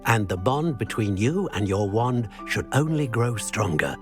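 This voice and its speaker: An actor playing an elderly, eccentric wandmaker in a video game, gentle and fussy, musing and murmuring to himself. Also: male voice, lowish voice, sing-song, measured pace. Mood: warm, instructive. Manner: murmuring hesitations, musing aloud, exclaiming. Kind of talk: theatrical video game cutscene acting. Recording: music behind the voice.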